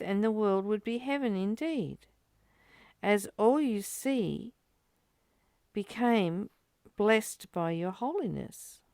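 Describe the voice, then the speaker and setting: Slow-paced woman, gravelly edge, spiritual reading. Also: medium pitch, darkish tone, no room sound, clean studio sound. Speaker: study group teacher reading and commenting.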